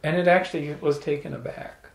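A middle-aged man telling an animated, playful story to a small audience, holding a handheld microphone. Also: a little high, fairly quick, vocal fry. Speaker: salon speaker. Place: room with small live audience. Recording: echoey room.

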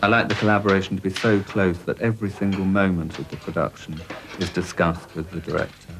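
Thirties guy, really deep voice, chatting about his design work, noisy recording. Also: level tone, a little fast. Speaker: stage designer. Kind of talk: casual documentary interview. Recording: clearly noisy theatre interview.

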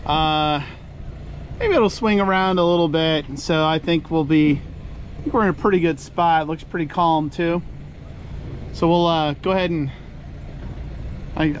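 A man casually narrating his sailing trip from aboard his boat, in a relaxed, chatty way. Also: fairly high pitch. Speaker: sailing vlogger. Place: outdoors on a sailboat at anchor. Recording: noisy outdoor boat recording.

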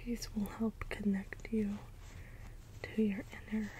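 A woman playing a gentle, naive, literal-minded robot caretaker in an ASMR role play, in a breathy whisper with halting phrases. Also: medium pitch, little pitch movement, slight background noise, natural voice. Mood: gently helpful. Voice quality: breathy whisper, mostly unvoiced. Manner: slow, halting, measured phrases, literal and matter-of-fact. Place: indoors, small room, close in front of backdrop. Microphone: close ASMR microphone.

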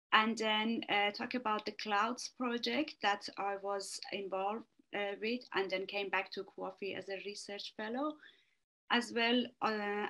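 A woman from Iran with an Iranian accent, giving an academic talk over a video call, halting, with many fillers and restarts. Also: fairly high pitch, fairly bright tone, dull audio. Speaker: research fellow presenting a webinar talk. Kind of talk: online academic presentation.

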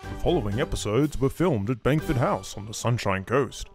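A man's voice: medium pitch, expressive, moderate pace, faint music behind. A man presenting a children's show from a script.

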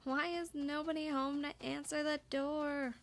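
A woman's voice: high-pitched, little pitch movement, unhurried. A woman giving casual let's play commentary on a farming game, musing aloud to herself as she plays. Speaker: streamer playing a farming game. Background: game music.

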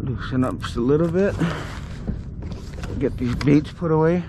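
A man's voice: on the high side, moderate pace. A man fishing from a kayak at sea, giving a casual running commentary to himself in short, muttered remarks. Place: on a kayak out on the ocean. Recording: noisy outdoor ocean sound.

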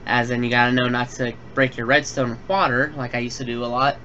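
A man's voice: mid-range voice, little pitch movement, quick pace, bright, forceful tone. Young man, casual gaming host, rambling with fillers, dull home mic. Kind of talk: casual tutorial and personal update. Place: home room. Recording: dull lo-fi home recording with faint hiss.

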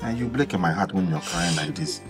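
An actor with a Nigerian accent playing a doting, soft-hearted boyfriend in a film drama, coaxing and repeating himself. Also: male voice, low-pitched, lively intonation, fairly quick, music in the background. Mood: soothing, pleading. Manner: coaxing, repetitive, punctuated with "eh". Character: doting, soft-hearted boyfriend soothing his crying woman.

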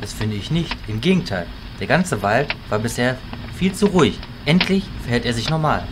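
A man's voice: mid-range voice, expressive, normal speed, noisy background, lightly acted. A man as a dry, level-headed illusionist. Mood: dry, measured. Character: level-headed, analytical male illusionist companion. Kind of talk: fantasy audio drama acting.

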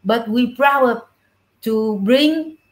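A middle-aged woman with a Vietnamese accent answering interview questions on a video call in halting, simple English. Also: on the high side. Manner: halting, non-fluent English phrasing. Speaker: puppeteer, interview guest. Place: small indoor room with puppets, on a video call. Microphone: video call device at arm's length.

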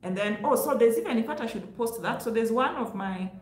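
A woman's voice: fairly high pitch, expressive, a little fast. A middle-aged woman with a Kenyan accent giving casual, emphatic advice to viewers as a vlog host. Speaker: host, careers advice vlogger. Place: small indoor room, home office. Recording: echoey untreated room.

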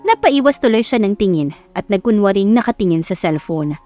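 A woman's voice: medium pitch, animated, a little fast, mellow. A woman with a Filipino accent reading a scripted story as an audiobook narrator, in a dramatic storytelling style. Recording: background music under narration. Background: music.

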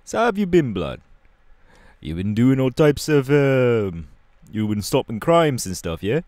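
A man voicing a laid-back but irritable young punk superhero bassist, slangy and drawling, in an audio roleplay. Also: sing-song, a little slow, a little mellow, no echo. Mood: upbeat, welcoming. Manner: slangy, casual, drawling with hesitations.

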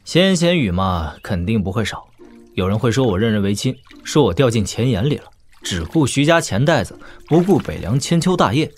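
Male actor, young prince, medium pitch, animated, casual and teasing, radio play dialogue. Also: brisk, a little hiss or hum, natural voice. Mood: wry, confiding. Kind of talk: audio drama dialogue.

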